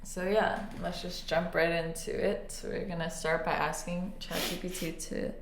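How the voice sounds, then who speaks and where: A woman's voice: fairly low pitch, flat delivery, normal speed. A young woman co-hosting a casual podcast, teasing and giggly, with lots of "like.". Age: young adult. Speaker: podcast co-host. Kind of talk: casual chat. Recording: echoey room with faint hiss.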